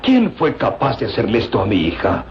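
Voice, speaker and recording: A man's voice: on the low side, wildly swooping pitch, a little fast, creaky, heavily acted. A man playing an anguished elderly professor and father in a melodramatic old radio drama. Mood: distraught. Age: older. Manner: emotional, dramatic. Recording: muffled, noisy old radio recording.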